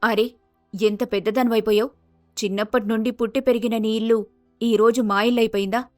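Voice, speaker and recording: Woman doing a caring mom in a cartoon family story, coaxing, high voice, soft music behind. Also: fairly even intonation, a little fast, no room sound, clean studio sound, lightly acted. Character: kindly, motherly mother of a married daughter. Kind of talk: Telugu animated moral-story voice acting.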